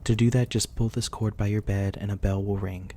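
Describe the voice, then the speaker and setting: Man playing a deep, gentle vampire gentleman. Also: level tone, fairly quick. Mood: soothing. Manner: soft, reassuring, politely old-fashioned. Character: gentle, courteous reclusive young-looking male vampire host, 174 years old. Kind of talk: intimate ASMR roleplay acting.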